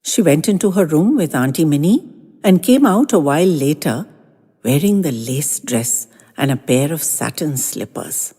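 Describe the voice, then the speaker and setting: Woman, low voice, husky, lively melodic reading, audio fiction narration. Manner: unhurried, expressive storytelling. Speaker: storyteller. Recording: long reverb, faint music underneath.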